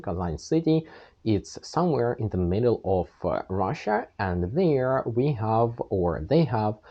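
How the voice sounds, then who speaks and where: A young man with a Ukrainian accent tells his own story in an earnest, plain-spoken way. His voice is low, mellow and slightly husky. The recording sounds dull and lo-fi. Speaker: channel host. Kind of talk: personal storytelling monologue.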